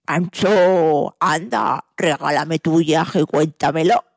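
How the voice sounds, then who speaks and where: Casting: woman as wise, gentle elephant dad for a storybook, slow and gravelly, dry close sound. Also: low voice, no echo, full character voice. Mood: gentle, calm. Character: big old father elephant, gentle and wise. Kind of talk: children's story dramatization.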